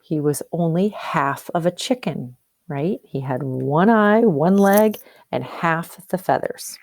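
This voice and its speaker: A woman teacher reads a storybook aloud in a mellow, slightly low voice with big swings of intonation. She explains as she goes and puts questions to her listeners. The recording is close and dry. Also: animated, slow, no echo. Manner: explanatory, questioning, checks understanding. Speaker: teacher reading aloud to a class.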